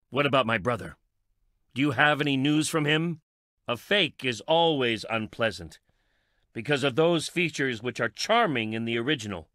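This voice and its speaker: An actor playing a brooding, bitter, sardonic eccentric man in a video game, with theatrical, measured delivery. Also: mid-range voice, pushed and bright, no echo, clean studio sound. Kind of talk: dramatic game voice acting.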